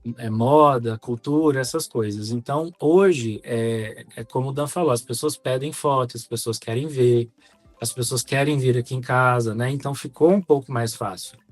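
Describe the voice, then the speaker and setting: Brazilian man in measured podcast conversation. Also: medium pitch, no room sound, soft background music. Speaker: podcast co-host. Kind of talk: reflective conversational podcast talk.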